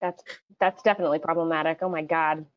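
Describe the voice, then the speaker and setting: A woman hosting a casual game segment in a chatty podcast style, playful and quick to laugh as she talks. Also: deep voice, fairly even intonation, highs cut off. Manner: playful, laughs often while talking. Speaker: podcast host.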